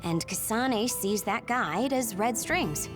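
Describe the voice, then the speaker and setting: A woman's voice: fairly high pitch, animated, slow pace, over music. A woman playing a brainy, laid-back young woman in an English game dub, explaining things in an analytical, matter-of-fact way.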